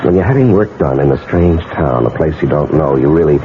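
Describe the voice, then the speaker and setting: An actor playing a smooth, reassuring, slightly hesitant husband in a 1970s radio drama. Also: male voice, rumbling low, expressive, brisk. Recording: muffled, noisy old radio recording.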